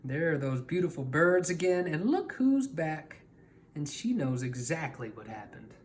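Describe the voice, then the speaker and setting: A woman's voice: deep voice, big pitch swings, unhurried, darkish tone, slight background noise. A woman storyteller reading a picture book aloud expressively, talking directly to young listeners.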